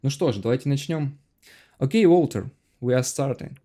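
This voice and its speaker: Man, Russian accent, class host, mellow tone, halting with fillers. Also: medium pitch, lively intonation. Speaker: course instructor and host. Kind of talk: hosting an open class.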